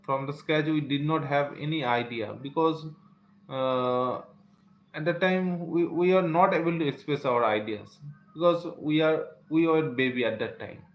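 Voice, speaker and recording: A man's voice: on the high side, a little slow. Earnest Indian man in an echoey room. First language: Santali. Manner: earnest, repeats phrases, sprinkles "okay". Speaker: English teacher. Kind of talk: live-stream motivational talk to learners.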